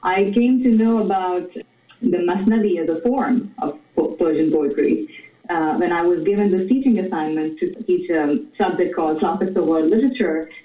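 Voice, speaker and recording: Guest, mellow and roomy. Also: medium pitch. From Pakistan. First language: Urdu. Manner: measured, reflective, frequent restarts. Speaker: guest, literature teacher. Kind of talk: thoughtful interview answers. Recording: roomy echo, distant from mic.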